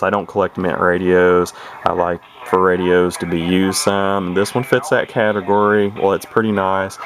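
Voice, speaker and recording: A man with a very low voice talks through an old CB radio in an unhurried, rambling way, full of fillers and restarts. The home video is noisy, with radio hiss behind him. It sounds like a camera's built-in mic in a room at home. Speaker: CB radio collector showing a radio. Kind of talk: casual show-and-tell video.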